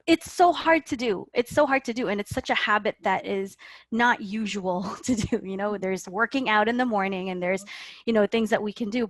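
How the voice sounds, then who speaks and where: A woman's voice: medium pitch, no room sound. A woman coach speaking earnestly in a dry, close recording. Her voice sits in a middle register, and she keeps asking "right?" as she explains. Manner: earnest, often tags sentences with "right?". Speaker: guest life coach. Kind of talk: motivational interview talk.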